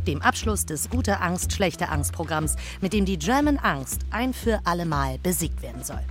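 An actress plays an eager female event presenter with a lowish voice and big melodic swings. She is ceremonious and dramatic, like a live broadcast in a radio play, over faint music. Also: close to an everyday voice.

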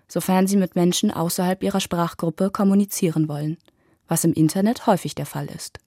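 A female reporter narrating a scripted radio feature in an even, clear reading. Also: mid-range voice, no echo, studio quality.

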